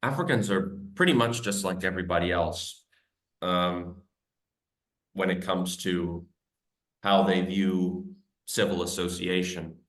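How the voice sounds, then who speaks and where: A man's voice: low-pitched, measured pace, a bit bright. A man, a guest author, chatting loosely in an interview and filling his speech with "like" and "you know". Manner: loose, conversational, heavy use of "like". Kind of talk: casual interview chat. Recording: roomy echo.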